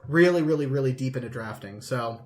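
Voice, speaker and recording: A man's voice: medium pitch, brisk, darkish tone. A man co-hosting a podcast in casual chat, with a jokey, sweary manner. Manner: jokey, sweary, laughs often. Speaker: podcast co-host. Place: indoors in a dining room at home.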